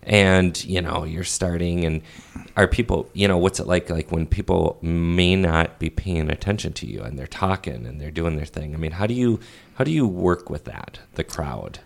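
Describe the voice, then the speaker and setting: Male co-host, very deep and gravelly; rambling, filler-heavy questions. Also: expressive, moderate pace. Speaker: radio show co-host. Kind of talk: casual studio interview.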